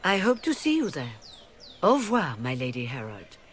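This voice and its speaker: A poised mage leader. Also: female voice, deep voice, slight background noise. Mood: calm, persuasive. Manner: calm, measured, diplomatic.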